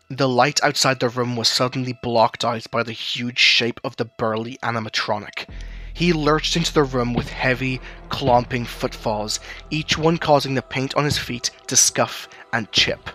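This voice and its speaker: A man's voice: medium pitch, sharp tone. A man reading scripted third-person narration for an audio drama. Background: music.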